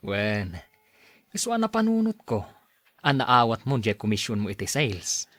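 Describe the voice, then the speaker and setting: A man acting as a chatty, teasing buddy from the office in a radio drama. He has an Ilocano Filipino accent and a very lively, mid-pitched voice, recorded dry. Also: normal speed, no room sound. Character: friendly, teasing male workmate and buddy. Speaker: actor in an Ilocano audio drama. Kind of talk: acted radio drama dialogue.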